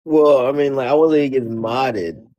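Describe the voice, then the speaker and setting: A man's voice: mid-range voice, normal speed, mellow, dull audio. A man hosting a casual debate chat, joking and rambling with lots of repetition.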